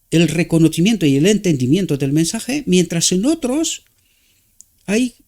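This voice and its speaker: A man with a Romanian accent preaches in Spanish, his second language. His voice sits fairly high and swings widely in pitch. He halts to search for words and keeps asking his listeners to agree. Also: creaky, mellow. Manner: halting, searching for words, frequent rhetorical "¿verdad?".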